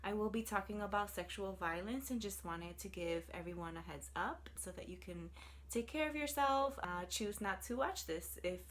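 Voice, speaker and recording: A woman's voice: medium pitch, normal speed, fairly bright tone. A woman in her thirties from New York City, speaking in an earnest, reflective way as she tells her personal story for a video application. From New York City, United States. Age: 30s. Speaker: artist, social worker and fellowship applicant.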